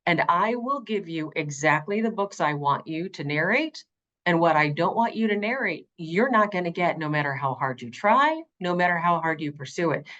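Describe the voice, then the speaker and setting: Lower-voiced middle-aged woman, earnest and expressive. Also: moderate pace, muffled recording. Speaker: audiobook narrator being interviewed. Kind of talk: conversational interview.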